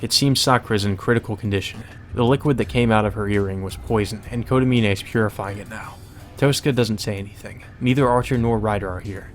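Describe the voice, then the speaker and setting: A man's voice: lowish voice, fast, soft background music. A man reading visual novel narration aloud as a let's play, mixed with casual, sweary commentary.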